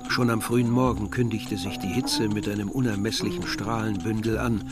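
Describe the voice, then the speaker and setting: An actor playing a reflective man narrating his village youth in a literary radio drama, measured and articulate. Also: on the low side, steady tone, over loud music, natural voice.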